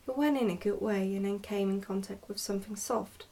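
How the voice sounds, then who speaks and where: A woman reads a storybook in a hushed ASMR manner, slipping at times toward a whisper. Her delivery is careful and deliberate, and she sounds a little way back from the microphone. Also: mid-range voice, fairly even intonation, recorded from a distance. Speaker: ASMR host reading a book aloud. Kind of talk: ASMR storybook reading, soft and partly whispered.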